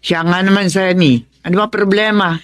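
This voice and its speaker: A woman's voice: lowish voice, steady tone, moderate pace, close to an everyday voice. An actress playing a sensible, moral mother of grown daughters in a Filipino radio drama, giving reasoned advice. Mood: advising. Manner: reasoning, advising.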